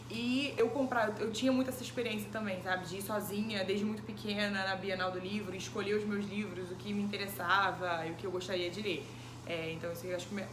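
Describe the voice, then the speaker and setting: Hesitant woman in her twenties. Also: medium pitch. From Brazil. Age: 20s. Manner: thoughtful, many hesitant fillers. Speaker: interviewee. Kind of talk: casual recorded interview. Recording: echoey room with background noise.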